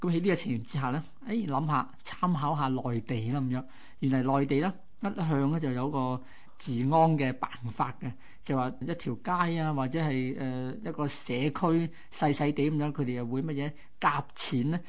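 Medium-pitched Hong Kong Cantonese male host. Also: moderate pace. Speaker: radio co-host. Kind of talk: conversational history storytelling.

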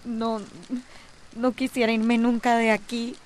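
A woman's voice: a little high, little pitch movement, unhurried, slight background noise, natural voice. An actress playing a distressed, vulnerable teenage girl with a Costa Rican accent in a radio drama. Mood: sad.

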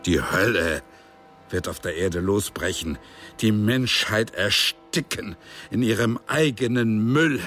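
Casting: bitter, impatient celestial boss for radio drama, slow and low, grandly pompous. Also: male voice, fairly bright tone, soft background music. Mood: bitter, solemn. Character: senior heavenly superior, male, weary, bitter, dismissive.

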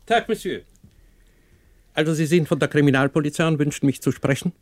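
An actor playing a haughty, wealthy older shipyard owner in a 1960s German radio play, formal, imperious and dismissive. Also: male voice, a little high, a little fast, background noise, lightly acted. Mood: cool, haughty.

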